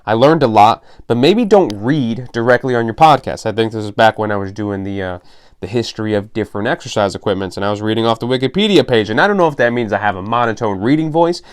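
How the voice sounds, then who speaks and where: A young adult man hosting his own fitness podcast, reading an article aloud with dry, sarcastic asides, in what he calls a monotone voice. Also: lowish voice, fast, clean tone. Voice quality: self-described monotone voice. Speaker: podcast host.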